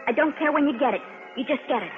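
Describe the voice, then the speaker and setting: Young army nurse, American, breezy, wisecracking, 1950s radio play, long reverb, thin band. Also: female voice, high-pitched, animated, fast talker, over music. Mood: wistful. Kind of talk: 1950s radio drama acting. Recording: old radio broadcast, narrow, noisy, reverberant.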